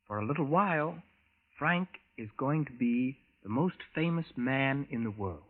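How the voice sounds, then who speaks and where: A man acting in a 1940s radio drama as a cryptic visitor from the future, speaking in measured, formal phrases. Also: medium pitch, expressive, close to an everyday voice. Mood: cryptic, solemn. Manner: measured, formal, avoids contractions. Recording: tinny vintage radio broadcast with music.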